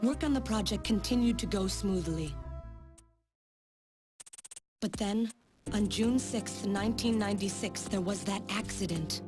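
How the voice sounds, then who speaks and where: An actress plays a woman scientist in a dramatic video game, grave and full of sorrow as she lays out a dark history. Her voice sits at an ordinary womanly pitch, with prominent music playing underneath. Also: unhurried, pauses often, natural voice. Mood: grave, sorrowful.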